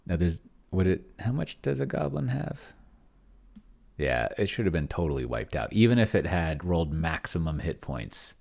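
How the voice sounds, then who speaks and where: A middle-aged man thinking aloud in casual screen-share commentary on a solo tabletop game, halting and muttering to himself as he works. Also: low voice, very animated, vocal fry, darkish tone. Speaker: solo tabletop roleplayer testing an AI game master. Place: indoors at a desk in a home room.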